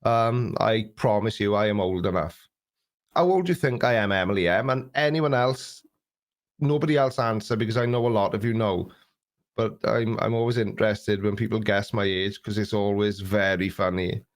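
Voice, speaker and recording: A man's voice: fairly low pitch, normal speed. A man in his late forties hosting a live stream, chatting loosely and joking at his own expense as he reads viewer comments, with an occasional cough. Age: 40s. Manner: chatty, jokey, self-deprecating, reads viewer comments aloud. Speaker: YouTube host. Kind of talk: live stream chat reading.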